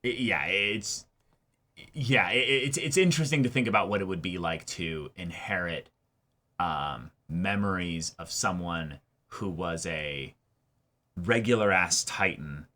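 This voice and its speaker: A man co-hosting a casual episode review, excitable and halting, often repeating words and swearing. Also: deep voice. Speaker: reaction show co-host.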